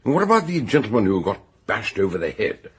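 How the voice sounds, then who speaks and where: An actor playing a bluff, hearty older British detective doctor in a radio drama, ponderous and exclamatory, with a husky voice. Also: male voice, lowish voice, big pitch swings, fast, no echo, full character voice. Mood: eager, inquisitive.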